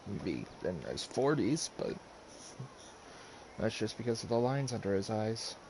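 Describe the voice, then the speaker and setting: A man's voice: lowish voice, very animated, a little slow, darkish tone. A man chatting and musing aloud in casual live game commentary, on a noisy stream.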